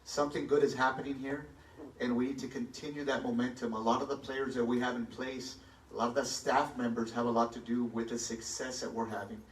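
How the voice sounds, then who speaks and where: A man's voice: mid-range voice, flat delivery, brisk. A man running for mayor, answering a forum question in a confident, emphatic way on a stage in a large hall. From Texas. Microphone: handheld mic, filmed from far back. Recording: echoey hall, far from the phone.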